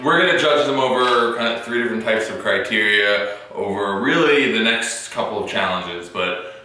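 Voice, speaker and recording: A man in his thirties on a judging panel, explaining the contest criteria in a relaxed way. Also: fairly low pitch, moderate pace, a little hiss or hum. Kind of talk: casual on-camera explanation. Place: small room with wooden walls. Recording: very echoey room.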